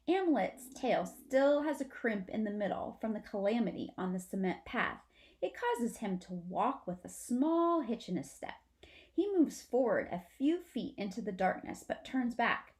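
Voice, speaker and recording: A woman's voice: on the high side, a little mellow. A woman, a teacher, narrates a children's story aloud for her students.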